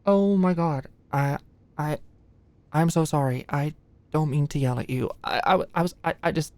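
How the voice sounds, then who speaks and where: A woman playing an anxious, stressed young medical student in an ASMR audio roleplay, halting and stammering as she talks. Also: low-pitched, a little mellow, no echo, close to an everyday voice. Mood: snappy, defensive, then sheepish, deflated.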